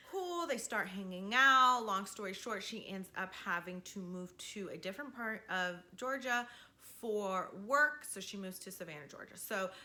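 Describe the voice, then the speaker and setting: A woman hosting a storytime vlog, telling a gossipy story in a casual, animated, slangy way with swearing and frequent check-ins to the listener. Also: mid-range voice. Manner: checks in with "right?" and "you know". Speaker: storytime video host.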